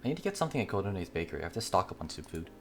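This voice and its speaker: A man's voice: sits low, sing-song, very fast, creaky, noisy background, full character voice. A man voicing a stubborn, playful young fox girl obsessed with games, in casual teasing banter.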